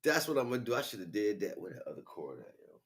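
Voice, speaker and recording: A man rambling casually on a livestream in slangy, muttered talk with a gravelly voice. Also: lowish voice, very animated, quick pace, a bit bright. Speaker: live streamer. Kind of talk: casual unscripted livestream chat.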